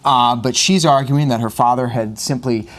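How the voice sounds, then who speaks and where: A male news anchor talks through the day's stories at a mid-range pitch. He keeps it conversational and unscripted, dropping frequent "uh" fillers as he hands off to his colleague. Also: normal speed. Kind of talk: live news desk conversation.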